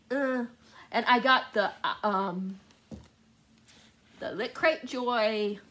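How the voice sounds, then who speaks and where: A woman's voice: medium pitch, a bit bright. A woman chatting casually to viewers as a book vlogger, gushing and often repeating words for emphasis.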